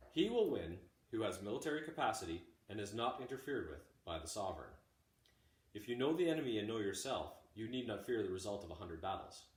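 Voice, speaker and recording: A man reading a classic text aloud like a teacher, in measured, deliberate phrases. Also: fairly low pitch. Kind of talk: audiobook-style read-aloud of a classic text. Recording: roomy, echoey home recording.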